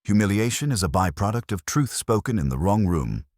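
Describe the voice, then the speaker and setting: A man's voice: on the low side, sing-song, brisk, a little mellow, dry recording, clean studio sound, pitched above the performer's own voice. A man voicing a suave, vain, theatrical artist and schemer with smug, ornate phrasing, in an audiobook mystery. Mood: defiant.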